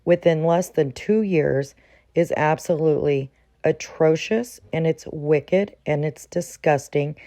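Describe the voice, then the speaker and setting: A woman delivering scripted commentary in a formal, measured manner. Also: sits low, dark tone, no echo, studio quality.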